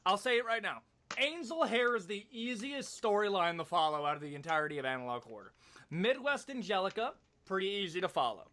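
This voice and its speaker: A man's voice: high-pitched, sing-song, fairly quick, pushed and bright. A man giving casual, opinionated video commentary in an emphatic, slangy, conversational way.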